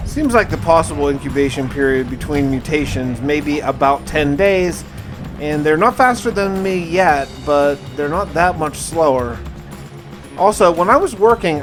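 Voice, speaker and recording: A man's voice: on the high side, animated, normal speed, over music. A man narrating his own survival game playthrough in a conversational, journal-like storytelling way, with light roleplay. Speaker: gaming video creator narrating a survival challenge.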